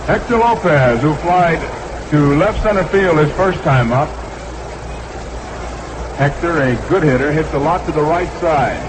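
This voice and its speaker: A man doing live 1960s radio baseball play-by-play in short, clipped phrases, repeating the count. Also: on the high side. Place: ballpark broadcast booth. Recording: noisy vintage 1960s radio broadcast.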